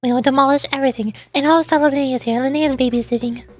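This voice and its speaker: High-voiced, playful woman streaming a game. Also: steady tone, very fast, darkish tone. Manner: playful, chatty. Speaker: VTuber streamer. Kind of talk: casual live game commentary. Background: faint music.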